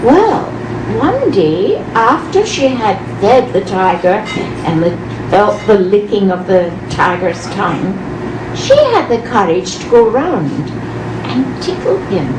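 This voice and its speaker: A woman telling a folk tale aloud as a live storyteller, with deliberate, dramatic phrasing and pauses. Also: mid-range voice, sing-song. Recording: roomy, distant mic, clearly noisy background.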